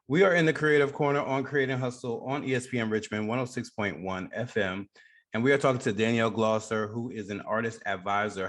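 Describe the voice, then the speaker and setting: A man with a mid-pitched voice works as a radio show host. He talks in a casual interview style, putting questions to a guest on air. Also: a bit bright.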